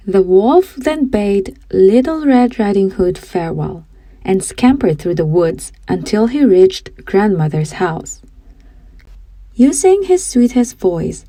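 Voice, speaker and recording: A woman's voice: mid-range voice, dark tone, dry recording. A woman reading a children's fairy tale as scripted audiobook narration.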